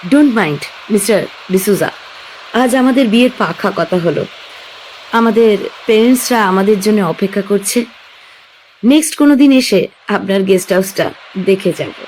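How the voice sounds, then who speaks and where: A woman's voice: mid-range voice, expressive, a little fast, long pauses, a little mellow, slight background noise, lightly acted, poor audio. A woman acting as a young woman stranded on an island with her fiancé, in an audio drama. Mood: worried. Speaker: Jina.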